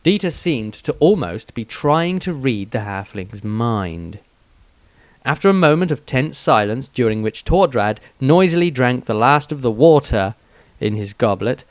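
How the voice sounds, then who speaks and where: A man reading a fantasy story aloud in steady, measured scripted narration. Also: medium pitch, no echo. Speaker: audiobook reader.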